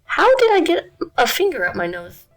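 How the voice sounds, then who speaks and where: A woman's voice: high-pitched, huge pitch swings, moderate pace, faint music behind, voice pushed up. Playful cartoon dog dad, light and jokey, read by a woman.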